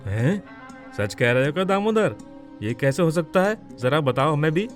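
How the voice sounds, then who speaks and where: A man's voice: fairly high pitch, expressive, normal speed, soft background music, natural voice. A man playing a nervous, wary gambler turned bandit in a moral story. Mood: doubtful. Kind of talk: dramatised Hindi moral story.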